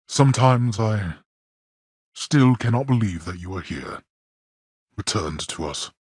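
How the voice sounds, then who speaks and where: A man's voice: low-pitched, slightly rough, dry recording, clean studio sound, heavily acted. An actor playing a stern, imperious male god of the dead who speaks formally and coldly, in a game. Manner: formal, cold and commanding, with clipped rebukes. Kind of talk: video game voice acting.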